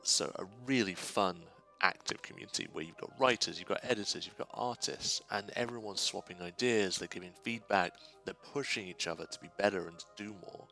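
Bright, creaky low-voiced man, enthusiastic. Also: fairly quick, faint music behind, poor audio. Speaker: writer, guest contributor. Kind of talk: informal spoken testimonial.

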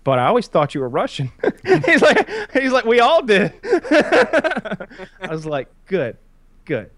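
A man's voice: sits high, wildly swooping pitch, unhurried, fairly bright tone, dry recording. A man in his thirties hosts a casual chat. He rambles with lots of restarts and swearing. The sound is close and dry, with no room around it. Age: 30s.

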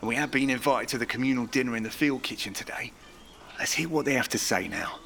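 An actor playing a cautious railway worker who reasons in a hushed, placating way in an audio drama. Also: male voice, mid-range voice, fast talker, creaky, a little hiss or hum. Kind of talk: naturalistic audio drama dialogue.

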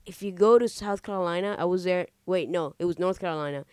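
A man from Argentina with a very clear, smooth voice trades casual banter as a podcast co-host. He is blunt, questioning and sweary. The recording is close and dry. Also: high voice, fast talker, darkish tone, dry recording. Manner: informal, blunt, frequent swearing.

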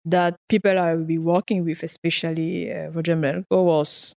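A woman's voice: on the low side, level tone, moderate pace, vocal fry, a little mellow. Woman, earnest. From Cameroon. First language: French. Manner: earnest storytelling, occasional laughs and repeated words. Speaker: guest research scientist. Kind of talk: conversational interview answers.

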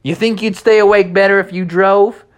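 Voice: mid-range voice, steady tone, moderate pace, pitched above the performer's own voice, close to an everyday voice. A storyteller playing a hearty cowboy horse. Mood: bossy. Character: old cowboy horse, hearty garbage-truck boss.

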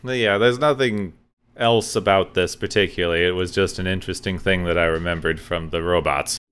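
A man's voice: low-pitched, steady tone, brisk, fairly bright tone, smooth. A man co-hosting a casual podcast, riffing with dry jokes and playing the game-show host. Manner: dry, joking riffs.

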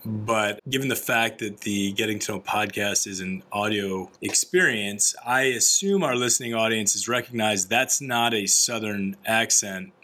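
A man's voice: on the low side, sharp tone. A man hosting a corporate podcast, asking conversational, leading questions. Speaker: podcast host.

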